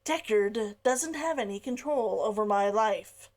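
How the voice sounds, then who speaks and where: A woman's voice: medium pitch, animated, unhurried, lightly acted. A woman reading an indignant, proud young woman. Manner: sharp, cutting delivery.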